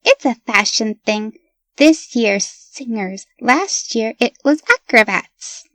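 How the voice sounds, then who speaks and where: A woman's voice: a little high, lively intonation, slow pace, a bit bright, no room sound. A woman voicing an eerie, knowing child in a comic dub, explaining things in a detached, matter-of-fact way. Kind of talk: comic dub character acting.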